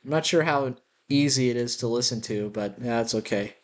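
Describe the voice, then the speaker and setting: A man's voice: medium pitch, a little fast. Man musing aloud with a slight lisp. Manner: musing, meandering, self-deprecating. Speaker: vlogger. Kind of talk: rambling personal audio journal. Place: house, indoors, walking around. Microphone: built-in laptop mic. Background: dogs' claws clicking.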